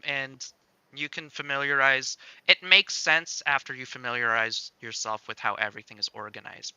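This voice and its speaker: Guy demoing game dev software, super bright clean voice, slow-ish, thinking out loud step by step. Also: mid-range voice, clean tone, no echo. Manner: explains step by step, thinking aloud. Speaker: game developer demonstrating a tool. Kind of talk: casual software demo with joking chat.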